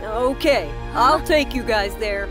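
A woman's voice: a little high, animated, takes it slowly, music in the background. A woman voicing a friendly young little red spaceship in a children's cartoon, youthful and earnest. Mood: helpful.

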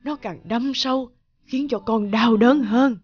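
Older cartoon mother: gentle, measured, higher-pitched, dull recording. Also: husky. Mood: gentle, grave. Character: gentle older mother counselling her grown daughter.

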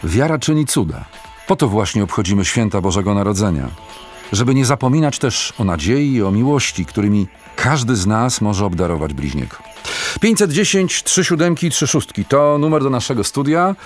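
An actor playing a polite, earnest radio phone-in host in a Christmas play, speaking formally and measuredly. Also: male voice, medium pitch, very animated, moderate pace, soft background music, lightly acted.

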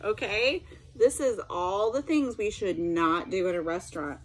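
A woman's voice: medium pitch, measured pace, low-quality recording. A middle-aged woman reading a picture book aloud to children, expressively, with simple explanatory asides. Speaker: special education teacher reading aloud.

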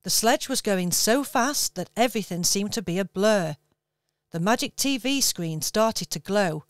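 Woman narrating a children's story, smooth voice. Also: medium pitch, lively intonation, dry recording, clean studio sound. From England. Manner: gentle, measured storytelling.

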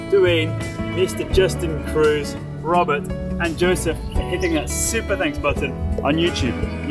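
A young man casually explaining boat restoration work step by step as he works in a boatyard and a wooden wheelhouse. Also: a little high. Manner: relaxed, explains step by step while working. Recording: guitar music behind.

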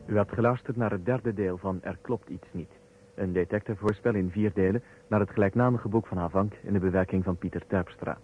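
Male announcer, formal and husky, vintage narrow-band radio sound with faint music. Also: lowish voice, steady tone, a little fast.

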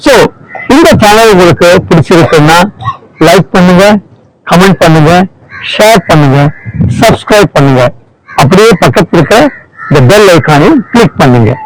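Male host, casual direct-address outro, higher-pitched voice. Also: rough recording. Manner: friendly direct appeal to listeners. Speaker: channel host. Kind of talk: casual channel outro appeal.

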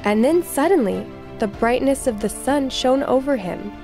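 A woman reading a children's storybook aloud in scripted narration, expressive and clearly phrased for young listeners. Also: high voice, normal speed, music in the background.

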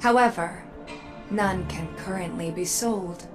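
Stern, slow-spoken android armorer. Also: female voice, on the low side. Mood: guarded, stern. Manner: formal, cold, measured. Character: Ada-1, stern, guarded female android armorer. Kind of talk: video game character dialogue. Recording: music under the voice.

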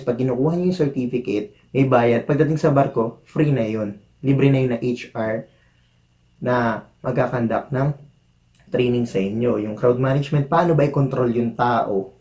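A man's voice: medium pitch, warm tone. A man in his thirties with a Filipino accent, giving encouraging career advice to the camera while seated at a desk in a small room. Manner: earnest, encouraging, gestures with hands while talking. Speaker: vlogger, former cruise ship chef. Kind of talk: casual advice vlog to camera. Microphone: camera in front at desk distance.

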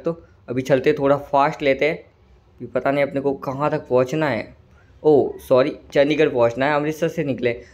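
A man with a slightly hoarse throat giving casual, chatty commentary over a train simulator game, often calling viewers "brother". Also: fairly high pitch. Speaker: gaming channel host.

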